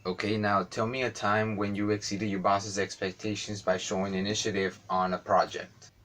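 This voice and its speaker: A man playing a brisk female store manager. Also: lowish voice, level tone, a bit bright, lightly acted. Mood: businesslike.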